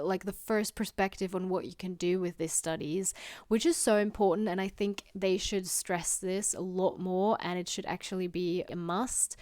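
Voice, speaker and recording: Chatty young woman from Austria, smooth voice. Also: mid-range voice, dry recording, studio quality. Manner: chatty, frequent "like" fillers, earnest. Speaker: literature student vlogger. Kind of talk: casual explanatory vlog.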